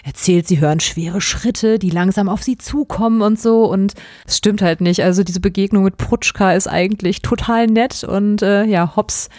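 A woman's voice: mid-range voice, moderate pace, darkish tone, dry recording. Woman chatting casually, dry close sound. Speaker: podcast co-host. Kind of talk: casual chat, recapping an audio drama.

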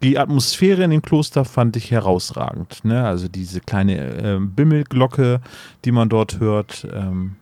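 A man's voice: on the low side, expressive, unhurried, dark tone, dry recording. A man co-hosting a podcast, chatting casually about a story with joking interjections. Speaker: podcast co-host. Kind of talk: casual chat and commentary.

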